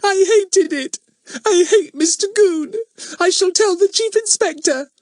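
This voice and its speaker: A man voicing a small frightened girl in a sobbing, wailing falsetto for a children's storybook. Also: measured pace, no echo. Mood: sobbing protest. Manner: wailing, tearful.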